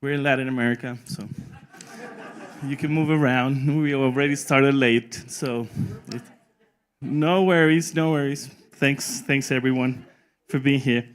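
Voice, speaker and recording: Relaxed man chairing a conference panel. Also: medium pitch, measured pace, smooth. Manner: relaxed, speaks English as a second language with tag "no?". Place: conference room.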